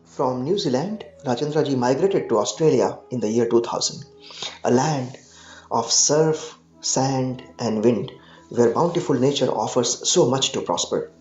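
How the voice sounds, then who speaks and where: A man's voice: medium pitch, expressive, darkish tone. Man narrating a documentary over music. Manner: measured, descriptive storytelling. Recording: dull roomy voice-over over music.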